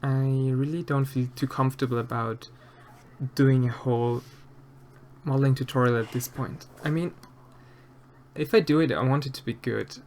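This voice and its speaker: Austrian guy rambling softly on a stream, lots of ums, faint hiss and typing behind. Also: mid-range voice, fairly even intonation, normal speed. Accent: Austrian German. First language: German. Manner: hesitant, many fillers and restarts, soft-spoken. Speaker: streamer answering chat while sculpting. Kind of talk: casual live-stream chat.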